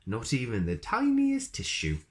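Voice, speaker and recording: A man's voice: mid-range voice, huge pitch swings, normal speed. A man reading a children's story aloud expressively, with chatty asides to his listeners.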